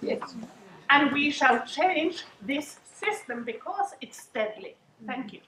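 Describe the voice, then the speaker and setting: A woman's voice: high voice, expressive, slow pace, pushed and bright. A woman who is a mother, giving an earnest, defiant comment from the floor at a conference. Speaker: conference participant. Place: conference hall. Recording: echoey hall from far off, noisy.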